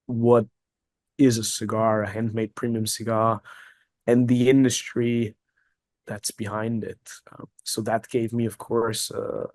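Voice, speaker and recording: Brief: a thoughtful German-native man, medium pitch, a guest in a casual chat. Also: darkish tone, dry recording. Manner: reflective, repeats words while searching for phrasing. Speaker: guest, cigar company executive. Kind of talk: casual conversational interview.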